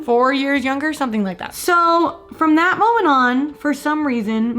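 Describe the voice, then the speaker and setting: A woman's voice: fairly high pitch, fairly bright tone. A young woman telling a story in a casual vlog, chatty and self-mocking, her voice a little strained from a sore throat. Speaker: vlogger. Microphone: switches between a mic and the camera mic.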